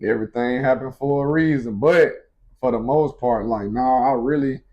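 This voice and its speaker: A man in his late twenties talks casually to his viewers, loose and unhurried. His voice sits at an ordinary middle pitch, and his speech is full of easygoing filler phrases. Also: dull audio. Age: 20s. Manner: laid-back, frequent fillers like "you feel me". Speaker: vlogger, expecting father. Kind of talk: casual couple Q&A chat.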